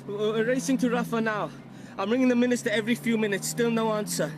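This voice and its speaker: Desperate man, very high-pitched. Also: steady tone, fast talker. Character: desperate Gazan man racing to reach the border. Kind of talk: radio drama acting. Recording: noisy radio play playback, vehicle sounds.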